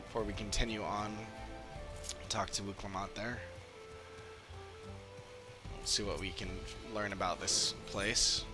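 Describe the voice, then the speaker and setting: Male streamer, lowish pitch, casual and halting delivery, game music clearly behind. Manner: halting, searching for words. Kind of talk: casual livestream commentary.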